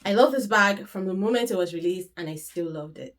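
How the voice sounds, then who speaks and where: A woman's voice: mid-range voice, fairly quick. A woman in her thirties, sniffly with a cold, chatting casually to the camera about her weekend and bags. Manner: chatty, gesturing with her hands. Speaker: vlogger. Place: room in front of a handbag shelf. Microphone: camera in front.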